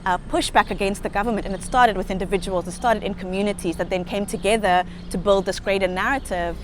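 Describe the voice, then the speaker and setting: A woman's voice: mid-range voice, steady tone, quick pace, background noise. A woman with a South African accent, speaking earnestly and reflectively in a documentary interview. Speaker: sustainability professional interviewee. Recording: music playing under the voice.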